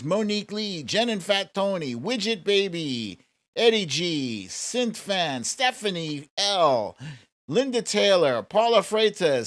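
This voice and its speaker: Casual man hosting a playful live stream. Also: fairly high pitch. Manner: informal, mildly sweary, playfully teasing the audience. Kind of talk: casual live quiz banter, reading out viewer names.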